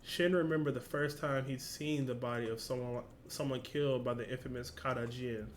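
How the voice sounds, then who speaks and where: A man's voice: medium pitch, steady tone, normal speed, a little hiss or hum. A man with a casual, slangy, informal way of talking, hosting a reaction video and reading along.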